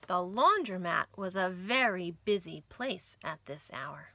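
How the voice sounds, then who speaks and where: A woman hosting a children's storytime, reading picture books aloud in an expressive, sing-song way. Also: on the low side, measured pace, gravelly. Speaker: children's library storytime host. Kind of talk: animated picture-book read-aloud for young children.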